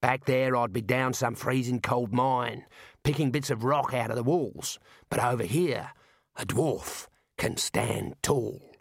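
A man's voice: mid-range voice, unhurried, vocal fry, breathy edge, dry recording, clean studio sound. A man voicing a breezy, blunt dwarf outdoorsman in a storybook, with colloquial, clipped sentences. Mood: breezy, proud.

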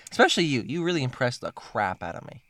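A man's voice: mid-range voice, big pitch swings, quick pace, a bit bright. A man hosting a casual chat, telling stories in a joking, playful way. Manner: joking, playful storyteller who laughs at his own jokes.

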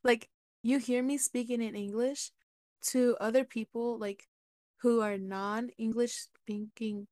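A woman whose first language is Spanish, chatting casually as a podcast co-host, animated and laughing often. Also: on the high side, measured pace, no room sound, clean studio sound. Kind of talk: casual chat.

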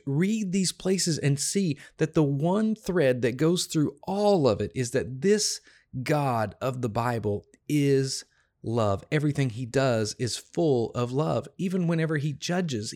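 A slightly hoarse, tired man hosting a devotional podcast, teaching a Bible passage in an earnest, encouraging way with emphatic repetition. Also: mid-range voice, darkish tone. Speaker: podcast host.

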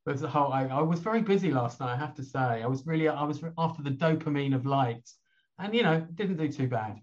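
A hoarse man, hosting an online chat show in a rambling, chatty way with lots of fillers. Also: on the high side, lively intonation, fast, lo-fi sound. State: hoarse from a cold. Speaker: host.

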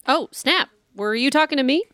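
A woman's voice: sits high, animated, normal speed, fairly bright tone, a little hiss or hum. A woman playing an earnest, literal-minded crime scene technician in a comic noir radio play, matter-of-fact and over-detailed.

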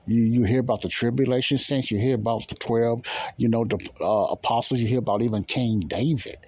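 A man's voice: medium pitch, steady tone, brisk, a little mellow, slightly rough. A man giving informal, emphatic Bible teaching as a podcast host, repeating key phrases and posing rhetorical questions.

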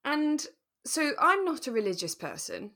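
A woman co-hosting a podcast, chatting in a relaxed, casual way and telling things with animation. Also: high-pitched, normal speed. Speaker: podcast co-host. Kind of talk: relaxed casual chat about a radio drama.

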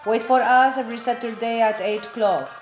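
A woman's voice: fairly high pitch, steady tone, brisk. A woman hosting a show, enthusiastically addressing her viewers. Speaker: show host. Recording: echoey room with faint music.

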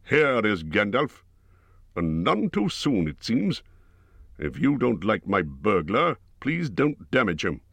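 A man's voice: fairly low pitch, sing-song, slow pace, vocal fry, a bit bright, slightly rough, dry recording, voice pushed up, full character voice. A man voicing an old, commanding male wizard with a dry, authoritative manner.

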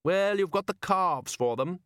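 Man voices a high, bossy upper-class wife, dry close read. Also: expressive, measured pace, a bit bright, clear, resonant tone, no echo. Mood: fond.

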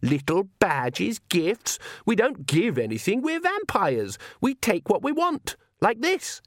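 A man voicing a petulant, sneering young female vampire in an audiobook, whining and sarcastic in drawn-out complaint. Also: fairly high pitch, lively intonation, dry recording, studio quality, pitched above the performer's own voice. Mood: scornful.

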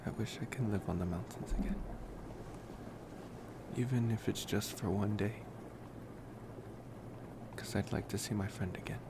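A man playing a gentle, wistful college vampire boyfriend in an intimate ASMR roleplay, rambling hesitantly in a soft-spoken way. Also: on the low side, a little slow, gravelly, long pauses, heavy background noise.

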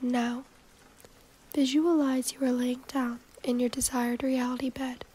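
A woman narrates a guided meditation in a soft, breathy voice that turns whispery. Her delivery is very even and mellow, with little rise or fall. She gives short, gentle instructions at a calm, measured pace. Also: high-pitched.

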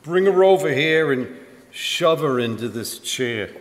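A man's voice: fairly high pitch, lively intonation, measured pace. Cocky gangster boss in an echoey hall played by a man. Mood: menacing, cocky. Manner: slangy tough-guy talk, bossy. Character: cold, cocky male gangster boss lying in ambush. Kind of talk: live radio drama acting.

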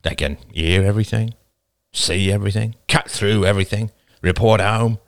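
A man's voice: on the low side, normal speed, dry recording, clean studio sound, natural voice. A man voicing a weary, resigned clan leader with a grave, sighing manner in an audiobook story.

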